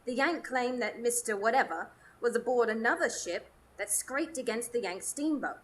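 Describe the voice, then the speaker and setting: A woman's voice: fairly high pitch, normal speed, fairly bright tone, slight background noise, natural voice. A woman playing a gossipy, cheeky Victorian London housekeeper in a radio drama recorded live on stage. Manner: chatty, gossipy.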